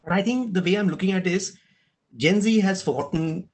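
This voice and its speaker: A middle-aged man moderating a panel on a video call, animated and often adding "right?". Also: sits high, brisk. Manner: animated, gesturing, tag question "right?". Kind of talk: casual panel discussion. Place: room at home with blinds and shelves. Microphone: video call webcam.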